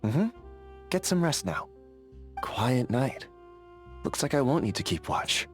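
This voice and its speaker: Earnest, animated young fantasy sentry. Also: male voice, mid-range voice, takes it slowly, faint music behind. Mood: gentle, caring. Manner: earnest, conversational, methodical. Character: earnest, vigilant young male fantasy sentry, friendly but overcautious. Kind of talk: video game character dialogue.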